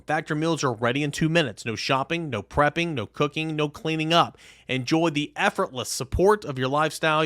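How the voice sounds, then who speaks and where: A man's voice: on the high side, a bit bright, no room sound, clean studio sound. A man hosting a sports podcast, moving between ad reads and talk to the listener.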